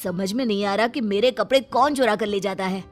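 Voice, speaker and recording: A woman as anxious young laundry lad, crafty, exclamatory self-talk, cartoon story, mid-pitched, clear music bed. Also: fairly quick, natural voice. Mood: worried. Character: worried, crafty young washerman boy. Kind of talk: Hindi cartoon story acting.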